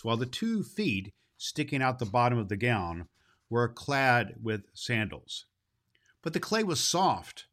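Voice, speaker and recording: Male performer as grandfatherly Christmas gift-bringer, jolly and hearty; forceful bright voice, swooping intonation, deliberate storyteller phrasing for kids. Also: medium pitch. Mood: storytelling. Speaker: host of a children's Christmas story show. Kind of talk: hearty in-character greeting and storytelling for children.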